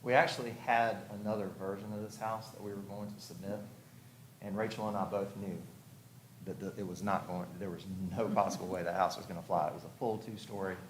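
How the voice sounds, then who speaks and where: Guy with a lowish voice talking thru a design at a hearing, conversational, echoey room w some noise. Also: steady tone, fairly quick. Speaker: house designer presenting to a review commission. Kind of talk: informal explanatory presentation at a public hearing. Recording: echoey room, clearly audible background noise.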